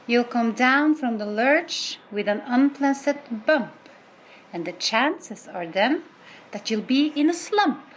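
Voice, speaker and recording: A woman's voice: a little high, lively intonation, slow pace, poor audio. A woman teacher reading a children's rhyming book aloud over faint background noise.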